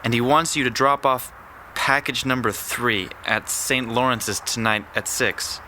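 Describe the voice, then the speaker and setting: A man's voice: medium pitch, unhurried, pushed and bright, a little hiss or hum. An actor playing a young male petty thug in an audio drama, defensive, pleading and evasive. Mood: nervous, defensive.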